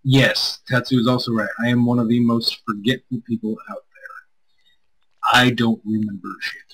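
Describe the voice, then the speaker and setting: A man's voice: medium pitch, lively intonation, moderate pace, gravelly, fairly bright tone, poor audio. A man streaming as a co-host, chatting casually with viewers in a rambling, self-deprecating way.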